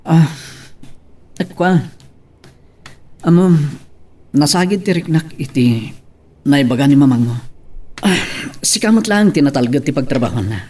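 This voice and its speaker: A man acts an earnest young Ilocano soldier and suitor in a radio-style drama. He is respectful and devoted, speaking politely with some hesitation in a slightly high male voice. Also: animated, unhurried, long pauses, darkish tone. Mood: hesitant, wounded.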